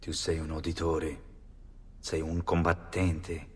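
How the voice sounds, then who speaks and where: A solemn father in a video game. His voice is extremely deep and very husky. He speaks slowly and commandingly. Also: monotone, heavily acted. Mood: solemn, soothing. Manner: slow, hushed, grave.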